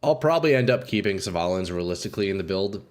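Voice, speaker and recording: A young man doing casual live commentary while playing a game, a bit congested and clearing his throat often, swearing and talking to chat. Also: sits low, animated, fast talker. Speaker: game streamer.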